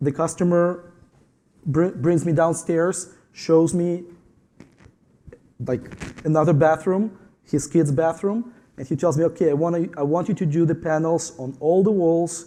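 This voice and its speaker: A man with a Ukrainian accent speaks on a conference panel with a mellow, warm tone that sits a little high. He talks earnestly about his trade, telling stories and stumbling into restarts as he goes. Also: fairly even intonation, unhurried. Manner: earnest, storytelling with repeated restarts. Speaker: tile installer on a panel.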